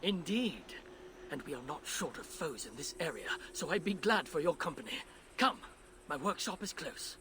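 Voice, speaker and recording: An actor playing an older dwarf smith, learned and earnest, speaking formally and with nervous deference in a video game. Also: male voice, on the high side, animated, moderate pace, noisy background, natural voice. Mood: businesslike. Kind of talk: video game cutscene acting.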